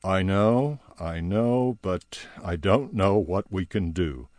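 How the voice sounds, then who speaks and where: A man voicing an old, weary Santa who speaks low and subdued. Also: unhurried, no room sound, clean studio sound. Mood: defeated.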